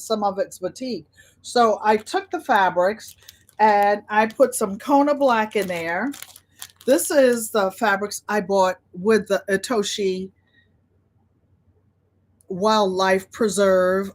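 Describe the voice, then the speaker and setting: A woman hosting a casual livestream chat in an unhurried, rambling way, drifting between her own talk and replies to viewers. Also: medium pitch. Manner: unhurried, rambling, easily sidetracked. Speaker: livestream host, quilter.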